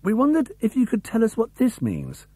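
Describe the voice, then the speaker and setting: A man's voice: very high-pitched, wildly swooping pitch, normal speed, dark tone, husky, no echo, voice pushed up, heavily acted. A man voicing a curious, polite schoolboy in an audiobook, with a light, questioning manner. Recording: dry cassette tape recording.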